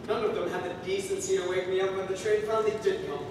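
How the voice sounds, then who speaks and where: Young man acting sarcastic high-voiced sleepy traveler, distant. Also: flat delivery, fast, natural voice. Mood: grumbling. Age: young adult. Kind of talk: high school stage play acting. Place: high school theater stage. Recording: echoey hall from far off, room noise.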